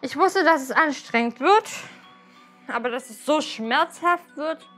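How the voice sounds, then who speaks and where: A woman's voice: high-pitched, expressive, measured pace, a bit bright, soft background music. A woman in her twenties answering interview questions in her apartment, blunt and offhand. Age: 20s. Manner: blunt, offhand, matter-of-fact. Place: small apartment indoors.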